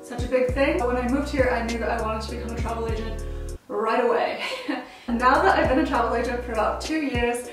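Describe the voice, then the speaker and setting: A woman's voice: on the high side, fairly even intonation, brisk, far from the mic, over music. Chatty young American woman, echoey room. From United States. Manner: chatty and upbeat, frequent "like" fillers, laughs easily. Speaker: travel vlogger and travel agent. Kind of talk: casual talk to camera. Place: small indoor room at home.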